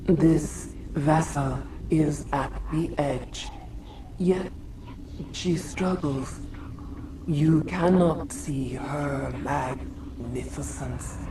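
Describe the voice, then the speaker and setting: An ancient, solemn alien queen in a video game. She speaks extremely slowly in halting, poetic phrases, set far from the mic amid clear ambient noise. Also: low-pitched. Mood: solemn, mournful.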